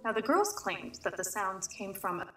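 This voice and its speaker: A woman acting in an audio drama as an inquisitive storyteller recounting eerie history in a measured way. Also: medium pitch, big pitch swings, fairly bright tone, echoey room, soft background music. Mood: curious, composed.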